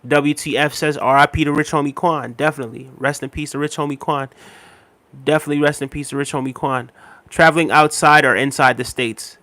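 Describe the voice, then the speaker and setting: A man in his thirties hosting a casual livestream, reading viewer comments aloud in a relaxed, repetitive way with many fillers, a little unwell and tired. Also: on the high side, fairly quick, no room sound. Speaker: livestream host.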